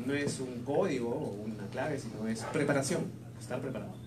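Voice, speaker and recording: A man's voice: medium pitch, brisk, darkish tone. Male sermon interpreter in a noisy, echoey room. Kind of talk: consecutive live interpretation of preaching. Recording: echoey room with clear background noise.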